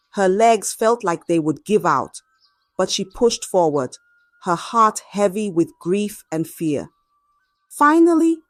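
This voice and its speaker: A woman telling a dramatic scripted story in an expressive, measured and suspenseful way. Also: on the low side, no echo.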